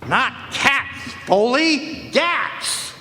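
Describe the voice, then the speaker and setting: A man's voice: very high-pitched, expressive, drawn-out words, sharp tone, heavily acted. An actor in a live radio play playing a wisecracking hardboiled male private eye who narrates in a dry, sardonic way. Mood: wry. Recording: echoey hall with faint noise.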